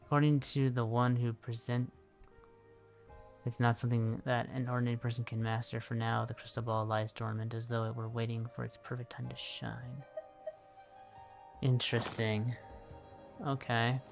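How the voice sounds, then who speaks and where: A man's voice: mid-range voice, level tone, normal speed, vocal fry, long pauses. A man giving casual, musing commentary on a gaming livestream, often trailing off mid-thought. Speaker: livestreamer playing a video game. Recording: game music behind the voice.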